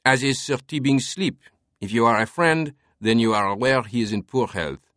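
A man's voice: medium pitch, normal speed, fairly bright tone, dry recording, studio quality, pitched above the performer's own voice, lightly acted. A man voicing an irritated, stiff French manservant in an audiobook, with a French accent and a curt, formal manner. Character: irritated, stiff French manservant guarding his master's sleep.

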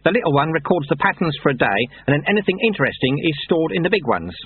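A man voicing a gruff, wily old monk sweeper in an audiobook story, with folksy, ungrammatical talk and a raised, animated voice. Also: on the high side, fast, no room sound, phone-quality sound, voice pushed up. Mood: sly, mentoring.

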